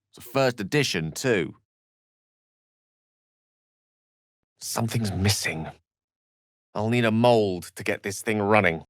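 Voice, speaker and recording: A man's voice: fairly low pitch, no echo, clean studio sound, lightly acted. A man playing an eloquent, bookish video game wizard, wry, proud and courteous, with an articulate, theatrical and refined delivery. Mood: reflective. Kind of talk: video game character acting.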